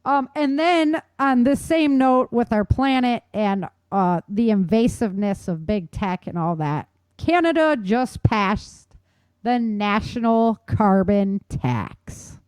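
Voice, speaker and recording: Dry-sounding, mellow woman talk show co-host. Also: fairly high pitch, slow, clear, resonant tone, no echo. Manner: opinionated, emphatic, conversational. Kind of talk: informal news commentary and chat.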